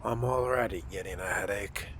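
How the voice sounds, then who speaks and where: A man's voice: lowish voice, moderate pace, noisy background. A man role-playing a video game in character, speaking in a formal, theatrical manner. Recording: loud music behind the voice. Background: loud music.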